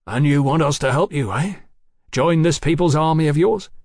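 A man voicing a rough, sceptical looter in an audiobook, sly and mock-deferential, with blunt questions. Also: fairly high pitch, moderate pace, darkish tone, dry recording, clean studio sound, voice pushed up. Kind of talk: audiobook character acting.

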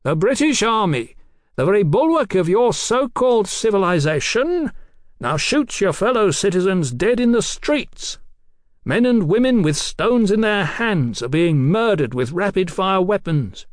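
High-pitched fanatical revolutionary villain. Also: male voice, big pitch swings, unhurried, dry recording, clean studio sound. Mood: coaxing, ominous. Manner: theatrical, declamatory, taunting. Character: Captain Swing, fanatical taunting male revolutionary villain.

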